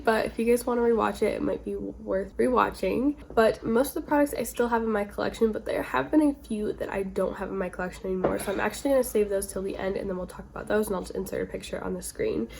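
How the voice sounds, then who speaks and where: A woman's voice: medium pitch, vocal fry, a little mellow, husky. A woman getting over a cold, chatting informally with rambling asides as the host of a casual beauty vlog. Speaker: beauty video creator, host.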